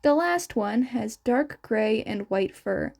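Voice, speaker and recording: High-pitched woman reading a story, slow and lively. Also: creaky. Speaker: fan fiction reader. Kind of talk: scripted story narration.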